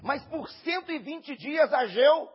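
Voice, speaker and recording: A Brazilian man preaching in short phrases with a Brazilian Portuguese accent, pausing for an interpreter and rising to shouts. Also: extremely high pitch, lively intonation, lo-fi sound. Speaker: visiting preacher. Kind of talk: sermon with live interpretation.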